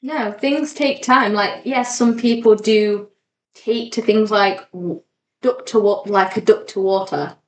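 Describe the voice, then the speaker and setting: Roomy echoey recording, woman cohost on a podcast, average pitch, chatty n encouraging, informal. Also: a little slow. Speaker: podcast co-host. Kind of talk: casual podcast chat.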